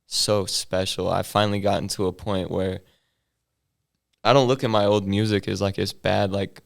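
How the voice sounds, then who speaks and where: A young man, a hip hop artist, talking casually in an interview with a deep, bassy voice that he feels comes out mumbled, in laid-back slang full of fillers. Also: flat delivery, moderate pace, creaky.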